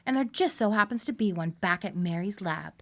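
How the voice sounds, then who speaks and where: A manipulative mad doctor in a radio play, medium pitch, smooth and coaxing, very in control. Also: female voice, expressive, a little fast, a bit bright. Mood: coolly coaxing. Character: coolly persuasive, manipulative scientist doctor. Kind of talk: live radio drama acting.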